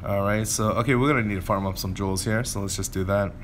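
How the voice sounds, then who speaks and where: A man's voice: fairly low pitch, steady tone, quick pace, a little hiss or hum. A man giving casual gameplay commentary, informally thinking aloud as he plays. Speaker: gameplay video creator.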